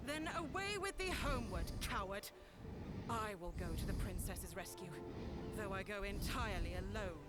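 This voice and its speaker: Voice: mid-range voice, lively intonation, moderate pace, lightly acted. An actor playing a brave, scornful soldier in a fantasy video game, speaking urgent archaic English over music. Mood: urgent, defiant. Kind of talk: dramatic video game voice acting.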